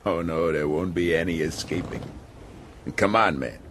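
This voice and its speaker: An actor playing a domineering, philosophizing sea captain in a radio drama, with a commanding, argumentative manner. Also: male voice, deep voice, steady tone, moderate pace, fairly bright tone, slight background noise. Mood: shrewd, commanding. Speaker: ship's captain.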